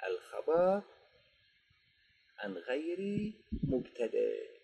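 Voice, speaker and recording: A man with an Iraqi Arabic accent teaching a grammar lesson, dictating slowly and quizzing his students before answering his own questions. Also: on the high side, very animated, vocal fry, mellow, husky.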